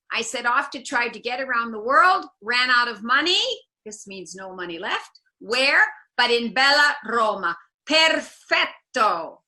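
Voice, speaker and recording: A woman's voice: sits high, pushed and bright, low-quality recording. A woman in her seventies from the US Midwest, giving an illustrated talk as a tour guide on a video call, telling her story and dropping in Italian words with relish. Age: 70s. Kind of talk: illustrated talk over slides.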